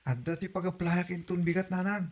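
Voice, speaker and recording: A woman's voice: on the low side, flat delivery, quick pace, a little mellow, natural voice. A woman playing a dutiful young adult daughter in an audio comedy drama, speaking with an Ilocano Filipino accent. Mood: eager, defensive.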